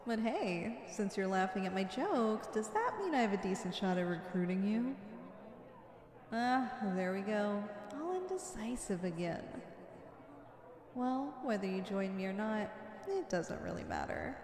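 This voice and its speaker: A woman's voice: mid-range voice, big pitch swings, unhurried, darkish tone. A woman playing a flirty, confident female supervillain in an ASMR roleplay, coy and teasing as she sweet-talks the listener. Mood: amused, flirty, then earnest, resolute. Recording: echoey with long reverb.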